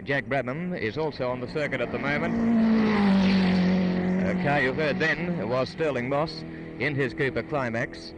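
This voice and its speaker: A man commentates for 1950s radio from beside a race track. His delivery is formal, with hesitant ad-libbed patches. Clear engine and outdoor noise runs through the old broadcast recording. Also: medium pitch, normal speed. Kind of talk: 1950s live radio motor racing commentary and interview. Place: outdoors trackside at a motor racing circuit. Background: racing cars and engine noise.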